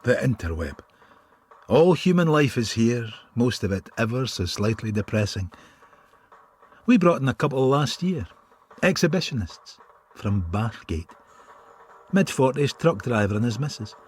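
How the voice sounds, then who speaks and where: An actor playing a weary middle-aged police detective in a radio drama, with a Scottish accent and a dry, wry manner. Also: male voice, medium pitch, big pitch swings, a little slow, pauses often, darkish tone, slightly rough. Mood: musing.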